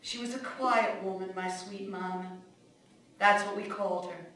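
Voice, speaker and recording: A woman's voice: medium pitch, steady tone, moderate pace, fairly bright tone, lightly acted. A woman playing a blunt immigrant mother, echoey. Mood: reminiscing, tender. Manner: plainspoken, colloquial storytelling with curt commands. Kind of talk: radio drama monologue. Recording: echoey room, distant microphone.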